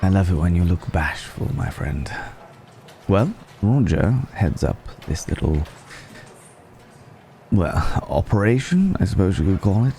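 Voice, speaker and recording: A man voicing a suave, menacing vampire noble in an intimate ASMR roleplay, speaking in a genteel, teasing way with drawn-out pauses. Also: low voice, very animated, warm tone, slight background noise, heavily acted. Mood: playful, sly. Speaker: voice actor.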